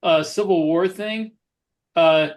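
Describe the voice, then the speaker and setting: High-pitched man chatting. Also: slow. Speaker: podcast co-host. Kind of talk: casual animated chat.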